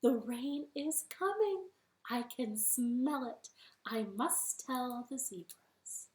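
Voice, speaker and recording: A woman doing a secretive little female porcupine from a storybook who whispers her news. The delivery is slow and hushed. The voice echoes in a roomy space. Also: on the high side, expressive. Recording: echoey living room.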